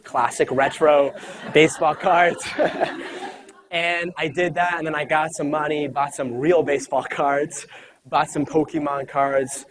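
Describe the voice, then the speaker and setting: A man, giving a casual, conversational motivational talk to a live audience, with many fillers. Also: mid-range voice. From United States. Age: 20s. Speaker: speaker at an event.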